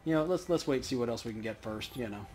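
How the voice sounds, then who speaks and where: A man's voice: mid-range voice, expressive, fast talker, a little mellow, faint music behind. A man hosting a let's play, casually commenting and reading game text aloud.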